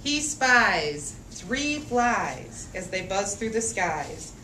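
A woman's voice: mid-range voice, sing-song, extremely slow, pushed and bright. A woman reading a picture book aloud to children in a sing-song, emphatic way, drawing out rhymes and putting questions to her listeners. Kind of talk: animated picture-book read-aloud with call-and-response. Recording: roomy, distant mic, faint background noise.

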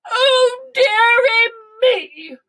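A woman's voice: very high voice, animated, very slow, fairly bright tone, recorded from a distance, pitched above the performer's own voice, exaggerated voice. A woman playing a fussy, childishly dramatic king in a children's verse story, with theatrical exclamations. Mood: sobbing dismay.

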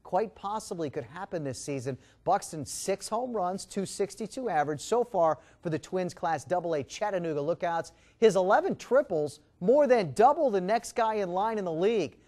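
A middle-aged man anchoring TV sports, reading highlights in a punchy, emphatic announcer style. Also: lively intonation, moderate pace, few pauses, darkish tone. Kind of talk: scripted sports highlights narration. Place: TV news studio.

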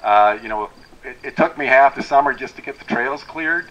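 A middle-aged man from Wisconsin, a tree farm owner, giving an unhurried, plainspoken welcome talk to a tour group outdoors, with many fillers and restarts. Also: medium pitch, fairly bright tone, muffled recording. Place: outdoors in a forest clearing. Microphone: handheld microphone.

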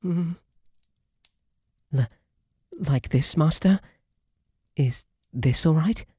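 A man acts out a shy male bunny butler with a British accent, soft and breathy. He talks slowly and formally, deferring and stammering in a flustered way. It is an intimate ASMR roleplay, recorded close and dry. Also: mid-range voice, no echo. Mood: hesitant. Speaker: roleplay audio performer. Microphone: close, dry microphone.

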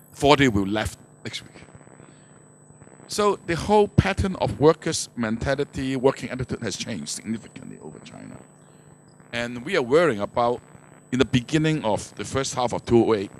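Older man on a noisy conference mic. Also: mid-range voice. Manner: non-native English phrasing with grammatical slips. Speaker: manufacturer speaking as conference panelist. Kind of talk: panel discussion, explaining business trends. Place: conference stage, indoors, before an audience. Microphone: handheld microphone.

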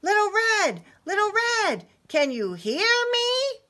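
A woman's voice: a little high, big pitch swings, unhurried, pushed and bright, voice pushed up, heavily acted. A woman voicing a brave, earnest little boy hero in a children's storybook, insistent and exclaiming. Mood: calling out urgently. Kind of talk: children's storybook read-aloud.

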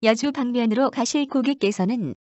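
A woman reading scripted subway announcements as a train announcer, formally and with measured enunciation. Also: on the high side, darkish tone, lo-fi sound.

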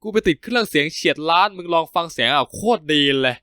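A tall, rich young boss talks bluntly and crudely, cocky and teasing, as a man reads him. The voice sits fairly high for a man and is recorded close and dry, like a character in a read-aloud novel. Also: lively intonation, fast, pushed and bright, clean tone, dry recording.

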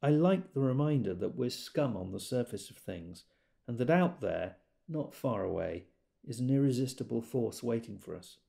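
Rueful fussy everyman telling his story, creaky voice, really animated pitch, audio drama monologue. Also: normal speed. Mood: reflective. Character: series of rueful, self-doubting everyday monologue narrators.

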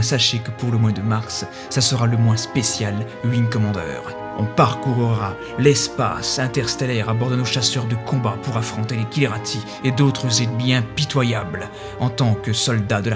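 Male radio host, mid pitch, chatty and emphatic promo read, music bed clearly present. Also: normal speed. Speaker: web radio show host. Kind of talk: upbeat scripted radio promo. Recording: music behind the voice.

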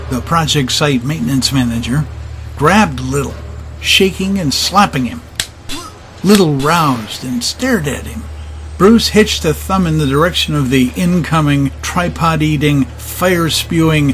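A man's voice: a little high, a little slow, fairly bright tone, a little hiss or hum, rough recording. A man narrating a steampunk adventure audio drama as a scripted, dramatic storyteller. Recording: music under the narration.

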